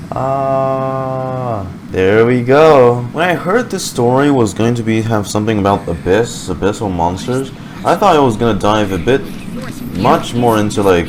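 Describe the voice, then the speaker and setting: A man's voice: fairly low pitch, noisy background. A man giving casual gaming reaction commentary, informal and rambling as he thinks aloud. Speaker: gaming reaction video host. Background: game music.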